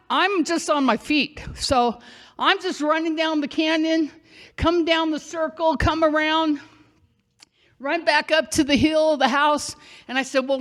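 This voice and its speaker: An older woman with a high voice tells a story live on a theater stage. She talks slowly and chattily, and she calls out loudly when she acts out a scene.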